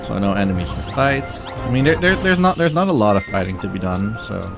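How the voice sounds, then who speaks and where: Halting male speedrunner over game music. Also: fairly low pitch, very animated, creaky. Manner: halting, rambling, self-interrupting. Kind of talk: casual live gameplay commentary.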